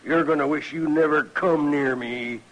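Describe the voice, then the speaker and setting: An American actor playing a surly, defiant rancher, gruff and belligerent, in an old-time radio western. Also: male voice, a little high, steady tone, normal speed, over loud music, close to an everyday voice, poor audio. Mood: defiant, threatening.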